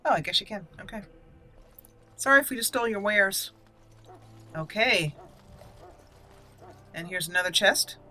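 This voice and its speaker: A woman's voice: medium pitch, expressive, measured pace, long pauses, sharp tone. A woman giving casual gameplay commentary, thinking aloud and reading item names out as she goes. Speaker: gaming channel host. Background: video game music.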